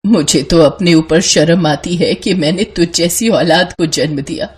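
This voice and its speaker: A woman's voice: on the low side, a little slow, darkish tone, slightly rough. A woman voicing a kind, suffering old grandmother in a story, tender and pleading. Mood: bitter, grieving.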